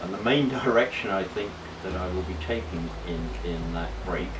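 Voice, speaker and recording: Low-voiced man, halting, noisy recording. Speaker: hobbyist vlog host. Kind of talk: casual unscripted show-and-tell narration.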